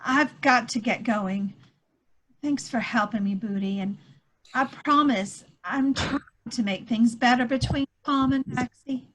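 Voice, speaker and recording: A woman acting a high-voiced, anxious wartime woman. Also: unhurried, poor audio. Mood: worried. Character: anxious, hopeful wartime woman clinging to news of missing airman. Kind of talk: one-act play table read. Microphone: video call.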